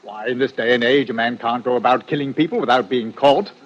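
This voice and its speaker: An actor playing a genial, complacent police inspector in an old radio drama, pompous, hearty and blustery. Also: male voice, medium pitch, fairly quick, close to an everyday voice. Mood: blustery, dismissive. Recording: dull, hissy old radio recording.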